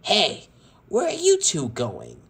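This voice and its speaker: A man voicing a sadistic male toy villain who taunts slowly and menacingly. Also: sits high, wildly swooping pitch, a bit bright, slightly rough, voice pushed up, heavily acted. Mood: taunting.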